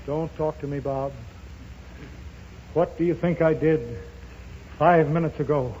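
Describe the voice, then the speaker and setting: A man's voice: fairly high pitch, measured pace. A man trading scripted lines in 1930s radio comedy, heard on an old broadcast recording. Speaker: performer on a radio variety show. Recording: muffled, reverberant, noisy old radio recording.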